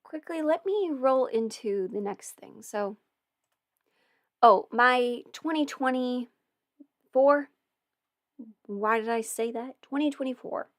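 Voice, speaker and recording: A woman's voice: a little high, slow, long pauses, no room sound, clean studio sound. Chatty young woman on a livestream. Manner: chatty and meandering, many fillers and restarts. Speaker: audio performer and channel host. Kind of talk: casual livestream Q&A.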